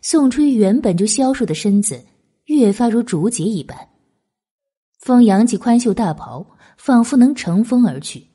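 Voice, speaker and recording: A woman reads a historical novel with expressive, literary phrasing. Her voice sits fairly high and moves through animated intonation. The tone is mellow rather than bright, suited to dramatic storytelling. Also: moderate pace, breathy edge. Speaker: audiobook narrator.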